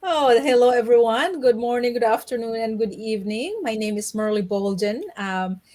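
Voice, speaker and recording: A woman's voice: fairly high pitch, normal speed, mellow. Cheerful woman. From Philippines. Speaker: panel guest. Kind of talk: live online business chat.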